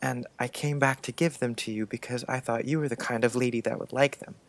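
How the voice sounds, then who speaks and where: A woman's voice: rumbling low, steady tone, a little fast, pitched below the performer's own voice. A woman voicing a shy, earnest, polite young schoolboy in an audiobook. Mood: shy, affectionate. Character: shy, adoring young schoolboy with a sweet temper. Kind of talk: audiobook character dialogue.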